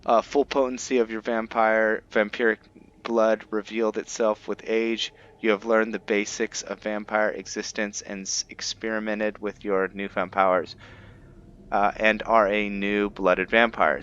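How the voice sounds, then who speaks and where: Low-voiced, unhurried man doing game commentary. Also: level tone, fairly bright tone, lo-fi sound. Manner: thinking aloud, hesitant searching for words. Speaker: gaming video host. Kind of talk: casual roleplay gameplay commentary.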